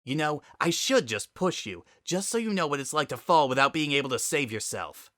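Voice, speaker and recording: A man's voice: a little high, expressive, brisk, no echo, studio quality, voice pushed up. A man playing a tough, hostile female pegasus pony, scornful and accusing, in a dramatic story reading. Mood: angry accusing. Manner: forceful, scornful.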